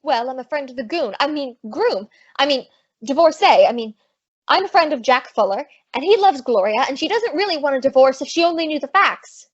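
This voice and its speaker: A woman's voice: high-pitched, expressive, fairly quick, highs cut off. A woman playing a brash, plucky young wisecracking blonde in old-time radio comedy, slangy and indignant.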